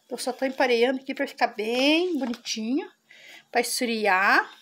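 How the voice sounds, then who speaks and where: Chatty high-voiced woman cooking at home. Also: lively intonation, moderate pace, fairly bright tone, a little hiss or hum. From Brazil. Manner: chatty, keeps saying "gente" to viewers. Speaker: cooking vlogger. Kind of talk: casual cooking demonstration. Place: home kitchen on a farm.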